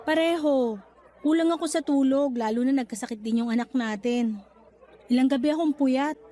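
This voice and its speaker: Woman acting a very high-voiced, weary young mother. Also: moderate pace, mellow, natural voice. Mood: tired. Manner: curt, exasperated. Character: weary, curt young mother rejecting an unwanted proposal. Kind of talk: Tagalog radio drama acting. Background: music bed.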